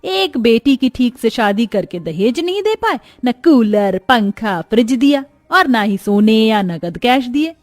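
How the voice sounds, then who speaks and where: A woman's voice: a little high, sing-song, a little slow, few pauses, dry recording. A woman voicing a bitter elderly mother-in-law who scolds, taunts and complains theatrically in a storybook tale. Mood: scornful. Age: older. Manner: scornful, self-pitying, theatrical complaining.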